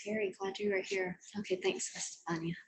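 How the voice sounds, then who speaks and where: A woman's voice: on the low side, fairly even intonation, brisk, slight background noise. A woman who grew up speaking Vietnamese, hosting a therapy training webinar in a friendly, encouraging manner over a video call. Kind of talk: webinar wrap-up chat.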